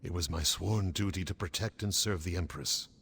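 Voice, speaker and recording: An actor playing a solemn, protective older former guard captain in a video game, speaking earnestly and gravely. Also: male voice, low-pitched, normal speed, fairly bright tone, slight background noise. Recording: music under the voice.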